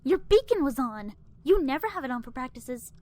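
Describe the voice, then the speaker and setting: A woman's voice: high voice, big pitch swings, a little fast, mellow. A woman playing an earnest, probing young military lieutenant in an anime parody, respectful yet frank. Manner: deferential but frank, careful.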